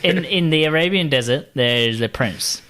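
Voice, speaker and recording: A man's voice: on the high side, lively intonation, normal speed, bright, forceful tone, clean tone. A man with a slightly raised voice, chatting on a podcast. He cracks jokes and laughs along with the others. His talk is easy and unscripted. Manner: wisecracking, laughs often. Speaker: podcast co-host. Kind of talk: casual chat.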